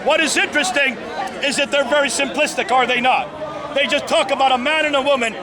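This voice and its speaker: A man preaching and debating in the street, loud and forceful, calling out to the onlookers. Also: very high-pitched, fairly quick. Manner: loud, preachy, addresses the crowd as folks. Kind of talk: heated outdoor debate. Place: outdoors in a busy city street. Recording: loud street crowd around. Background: crowd noise.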